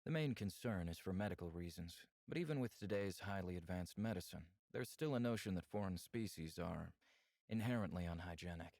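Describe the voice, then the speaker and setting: A reserved alien lover in a visual novel, with a very low voice in a dry, close sound. His phrasing is measured and formal, candid but composed. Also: expressive, a little mellow, no room sound. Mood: calm, candid. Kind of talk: visual novel character acting.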